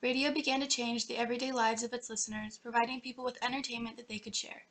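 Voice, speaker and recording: A young woman narrates a scripted documentary, reading from the page. She goes quickly in a slightly high voice with heavy fry at the edges. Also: level tone, fairly bright tone. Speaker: narrator of student history project.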